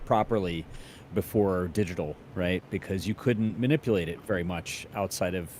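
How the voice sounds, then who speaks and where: A man's voice: low voice, normal speed, darkish tone. A man chatting casually as one of the panelists on a podcast. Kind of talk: casual chat. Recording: faint ambient background noise.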